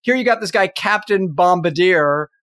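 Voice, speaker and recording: A man's voice: sits high, lively intonation, normal speed, fairly bright tone. A man co-hosting a podcast, chatting informally and wryly about a TV show. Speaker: podcast co-host. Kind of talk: casual panel chat about a TV show.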